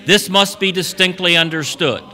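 A man's voice: high voice, moderate pace, pushed and bright. A man announcing and narrating a live radio drama on stage, in a dramatic, emphatic storytelling style. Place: auditorium stage before a live audience.

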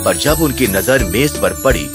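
A man's voice: lowish voice, expressive. A man narrating a scripted children's fairy tale as storyteller. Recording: music under the narration. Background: music.

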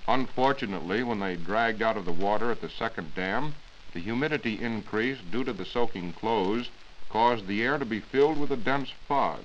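A man's voice: lowish voice, normal speed. A man giving a scripted 1940s radio science talk as a reporter, formal and explanatory. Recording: muffled, hissy 1940s radio recording.

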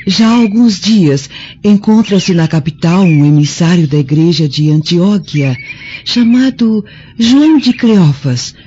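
An actress playing a cheerful, devoted Roman maidservant in a radio drama, respectful and earnest. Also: female voice, fairly low pitch, lively intonation, measured pace, slight background noise. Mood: glad, devoted. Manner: respectful, earnest, gently theatrical. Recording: dull sound with faint music.

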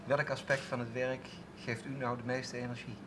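A man's voice: medium pitch, level tone, moderate pace, very noisy. A male co-host asking polite, formal questions in a local TV studio. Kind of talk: local TV interview. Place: TV studio with seated audience.

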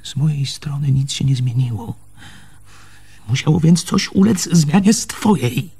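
Hurt fiance in a radio play, husky, pleading and arguing his case. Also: fairly high pitch, expressive, a little slow, dark tone, heavily acted. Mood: hurt, insistent. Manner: pleading, argumentative, repeats himself. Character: aggrieved, insistent fiancé defending himself. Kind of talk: Polish radio theatre acting.

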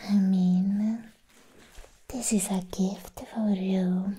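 A woman acting a sweet, friendly vampire girl in an ASMR roleplay. She whispers in a soft, mellow, breathy tone, very slowly and with long pauses. Faint noise and small clicks sit behind the voice. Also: medium pitch, flat delivery. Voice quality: breathy, mostly unvoiced whisper. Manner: gentle, playful, soothing, with long pauses.

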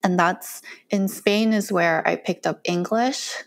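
A woman's voice: on the low side, steady tone, slow pace, gravelly. A young woman from Korea talking casually in an interview, chatty and laughing often, on a close mic at home. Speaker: guest, cooking content creator. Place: small bright room at home, indoors. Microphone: close studio mic with earphones.

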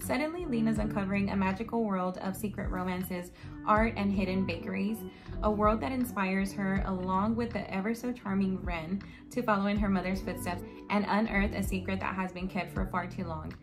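A young woman in her twenties, a bit hoarse and raspy after losing her voice, chatting casually about books and reading blurbs aloud in her bedroom. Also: medium pitch, fairly even intonation, moderate pace. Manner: chatty, reads book blurbs aloud, frequent "like" and "um". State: slightly hoarse from yelling at a concert. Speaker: book vlogger. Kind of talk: casual book haul chat. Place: bedroom, indoors. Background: background music.

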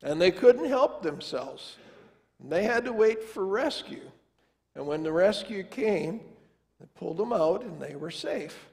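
Older man, pastor, folksy storytelling sermon, high voice, long church reverb. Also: lively intonation, a little slow, vocal fry, darkish tone. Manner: folksy, conversational, acts out family dialogue. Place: large church sanctuary, standing before the altar.

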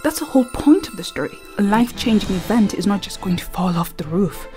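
A woman narrating a scripted video essay in an earnest, reflective way, often softly and breathily. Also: mid-range voice, animated, brisk, music in the background.

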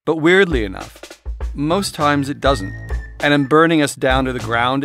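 A man reading his own self-help audiobook in an expressive, irreverent, emphatic, sweary way. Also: medium pitch. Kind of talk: expressive audiobook narration with candid, rambling asides. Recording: studio audiobook recording with music behind. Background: music.

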